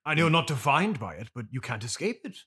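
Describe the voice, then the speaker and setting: A man playing a pompous, bright-voiced stage thespian. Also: medium pitch, big pitch swings, fairly quick, husky, full character voice. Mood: insistent, pompous. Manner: theatrical, haughty, swinging into pleading outbursts. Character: pompous, melodramatic classically trained male stage actor, bitter and desperate. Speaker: interview guest. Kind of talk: improvised comedy interview.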